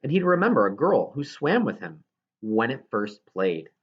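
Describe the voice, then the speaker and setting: A man's voice: medium pitch, very animated, normal speed, breathy edge, muffled recording. A man reading a children's novel aloud as scripted narration, now and then stumbling over a word. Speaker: audiobook reader.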